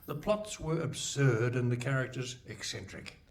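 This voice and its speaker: A man's voice: medium pitch, moderate pace, natural voice. A man playing a pompous, wry radio presenter, bantering theatrically in a live hall. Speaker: radio play host. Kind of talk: live radio play acting. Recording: live hall with faint noise.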